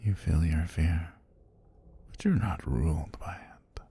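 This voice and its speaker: A man voicing an ancient giant serpent god in an ASMR fantasy roleplay, languid and sinister, with formal, amused, softly menacing speech and a husky, breathy, gravelly voice. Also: very deep voice, slow, heavily acted. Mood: languid, calm.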